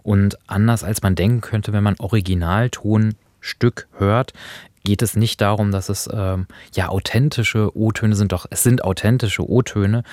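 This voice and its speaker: A man's voice: on the low side, fairly even intonation, a little slow, a little mellow, dry recording. A man co-hosting a radio programme, explaining and analysing in a reflective conversational way. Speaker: radio show co-host. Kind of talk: reflective two-person conversation about radio drama.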